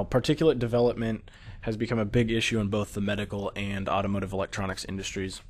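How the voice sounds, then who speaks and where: An American man narrating a technical slideshow, explaining steadily with occasional fillers. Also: on the low side. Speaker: product presenter.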